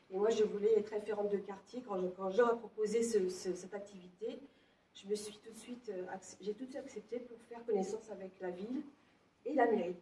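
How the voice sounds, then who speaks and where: Brief: woman, mellow tone, speaking plainly from across a reverberant room. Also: medium pitch, slight background noise. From Picardy, France. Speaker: neighbourhood volunteer. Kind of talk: recounting her background. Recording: echoey room, distant from mic.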